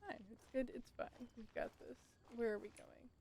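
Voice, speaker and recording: A woman's voice: on the high side, fairly even intonation, normal speed, vocal fry, a little mellow, slight background noise. A woman commentating casually on her live gameplay, muttering and thinking aloud to herself. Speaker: game streamer. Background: video game music.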